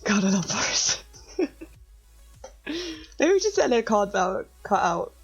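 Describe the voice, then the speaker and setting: A young woman in her twenties, slightly croaky, chatting casually and giggling as she walks viewers through a hacking exercise on a live stream. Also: mid-range voice, very animated, slow, lo-fi sound. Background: faint music.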